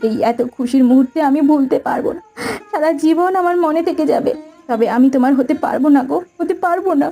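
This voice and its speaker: A woman's voice: sits high, fast talker, dark tone. A woman playing a young rich man's daughter in a cartoon story, earnest and melodramatic. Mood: anguished. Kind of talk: Bengali cartoon story acting.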